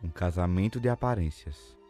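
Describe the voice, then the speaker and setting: A man's voice: sits low, normal speed. A man announcing a radionovela promo in dramatic scripted narration. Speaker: trailer announcer. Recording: loud music behind the voice.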